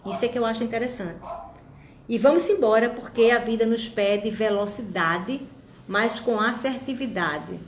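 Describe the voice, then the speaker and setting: A woman's voice: on the high side, normal speed, echoey room, slight background noise. A woman in her fifties giving an encouraging, reflective talk straight to her viewers. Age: 50s. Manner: warm, encouraging, reflective, addresses viewers directly. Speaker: vlogger. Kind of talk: motivational monologue to viewers.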